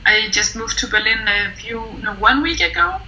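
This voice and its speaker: A woman's voice: a little high, expressive, moderate pace, bright, forceful tone, slight background noise, rough recording. A young woman from Denmark, a native Danish speaker, chatting candidly and rephrasing as she goes. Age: young adult. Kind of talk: candid personal chat.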